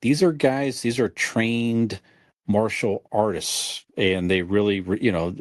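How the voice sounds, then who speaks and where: Middle-aged male podcast co-host, fairly deep, conversational, dry close recording. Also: steady tone, takes it slowly, no echo. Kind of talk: casual podcast chat.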